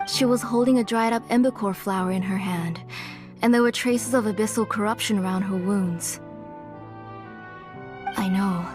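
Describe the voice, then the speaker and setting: Woman doing a soft sad mom, fairly high voice, music playing under. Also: lightly acted. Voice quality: soft, gentle, sorrowful. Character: gentle, guilt-ridden mother and former smith. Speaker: mother. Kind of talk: game dialogue. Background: soft game music.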